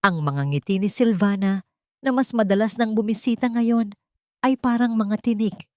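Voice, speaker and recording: A woman narrating a scripted drama story in an expressive, suspenseful way. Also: mid-range voice, moderate pace, no echo, studio quality.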